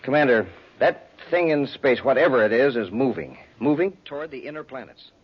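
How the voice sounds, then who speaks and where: An actor playing an earnest, shaken space lieutenant, intense and insistent, in a 1950s radio serial. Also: male voice, mid-range voice, expressive, fairly quick, slightly rough. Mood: urgent. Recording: dull 1950s radio recording.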